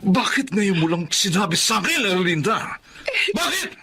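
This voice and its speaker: A man's voice: high voice, sing-song, moderate pace, creaky, over music, heavily acted. A man acting a strict, protective middle-aged father with a Filipino accent in a radio drama, speaking sternly and emphatically. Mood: angry, reproachful.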